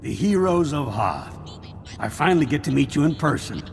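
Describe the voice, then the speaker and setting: An actor playing a bluff, commanding male army general in a video game, with a gravelly voice and familiar authority. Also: on the high side, very animated, normal speed. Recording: reverberant hall, music behind.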